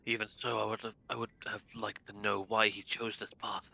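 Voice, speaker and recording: Man reading as a bright-voiced earnest young prince. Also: fairly low pitch, level tone, creaky, muffled recording, voice pushed down, exaggerated voice. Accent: British. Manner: solemn, measured reading.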